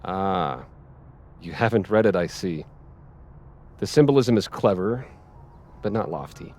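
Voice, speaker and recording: A self-important male author in a story-driven video game. He has a fairly low voice and a smooth, condescending, conversational manner. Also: expressive, a little slow, darkish tone. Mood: smug, casual. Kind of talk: naturalistic video game voice acting.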